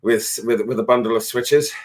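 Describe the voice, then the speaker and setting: A man's voice: medium pitch, steady tone, moderate pace. A man in his thirties co-hosting a casual drinking livestream, joking and rambling, often trailing off into mumbles. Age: 30s. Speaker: musician co-host of a drinking stream. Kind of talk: casual drunken livestream banter.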